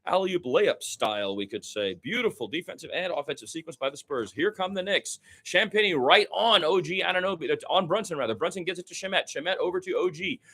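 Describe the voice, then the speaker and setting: A man's voice: on the high side, animated, fairly quick, hardly any pauses, sharp tone, slightly rough. A man doing live radio play-by-play of basketball, describing the action in running detail with short exclamations and asides.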